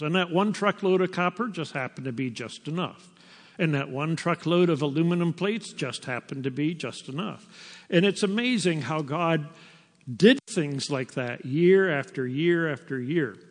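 An older man speaking in a slightly high voice, sharing his missionary life in an unhurried storytelling way. His words linger in the long reverb of a large church hall. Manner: slow, unhurried storytelling with pauses. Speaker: missionary teacher. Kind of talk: personal testimony to a church. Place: church sanctuary.

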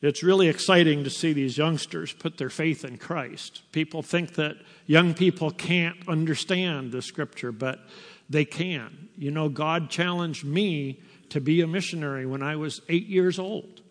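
An older man speaking in a slightly high voice, sharing his missionary life in an unhurried storytelling way. His words linger in the long reverb of a large church hall. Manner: slow, unhurried storytelling with pauses. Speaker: missionary teacher. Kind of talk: personal testimony to a church. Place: church sanctuary.

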